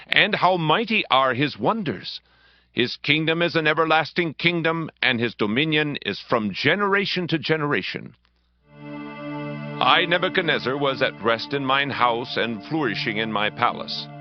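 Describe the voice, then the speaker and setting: A man's voice: fairly high pitch, no echo. An actor playing a proud, awestruck king of ancient Babylon, declaiming solemnly in a dramatized scripture reading. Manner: grand, declamatory, solemn proclaiming. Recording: dull band-limited audio under loud music. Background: loud dramatic music.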